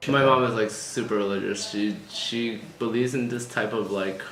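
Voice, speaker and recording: Relaxed young man, lowish voice, noisy echoey room. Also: normal speed. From United States. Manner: relaxed, loose, with many fillers. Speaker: interviewee in a couple's conversation. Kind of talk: casual personal chat.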